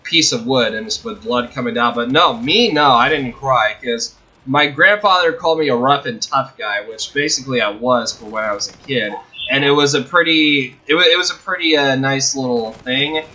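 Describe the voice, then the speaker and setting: A young man hosting a video, telling a personal story casually in a halting, rambling, self-correcting way. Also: mid-range voice, sharp tone, dull audio. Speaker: video host.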